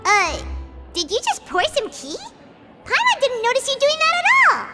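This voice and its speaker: A woman voicing a small, excitable female fairy companion in a video game, chattering about herself in the third person. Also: very high voice, normal speed, over loud music, full character voice. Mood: cheerful.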